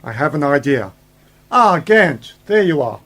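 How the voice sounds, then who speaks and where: Higher-voiced, resolute sci-fi hero played by a man. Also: sing-song, unhurried, a bit bright, heavily acted. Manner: explanatory, resolute, dramatic. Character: commanding, principled time-travelling uncle and scientist hero. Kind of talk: science fiction radio play acting.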